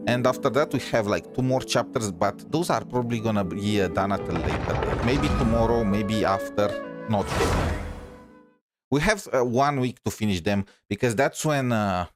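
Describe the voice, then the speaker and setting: Low-voiced male game streamer, rambling. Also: normal speed, music in the background. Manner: rambling, hesitant, informal. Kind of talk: casual live gameplay commentary.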